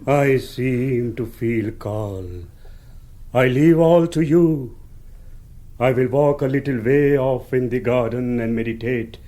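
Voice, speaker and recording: Male actor as serene Indian guru for radio comedy: unhurried, soft-edged tone, humble and ceremonious, Indian accent, broken English. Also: medium pitch, steady tone, close to an everyday voice. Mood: serene, solemn. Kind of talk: radio comedy drama acting.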